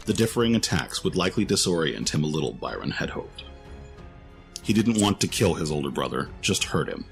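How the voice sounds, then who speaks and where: A man narrates a fantasy tale from a script. His voice sits very low, and music plays behind him as he tells the story.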